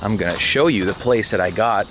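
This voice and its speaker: Laid-back low-voiced male travel vlogger. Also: fairly quick, background noise. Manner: laid-back, swears casually, says "bro" and "dude". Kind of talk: casual travel vlog with chat.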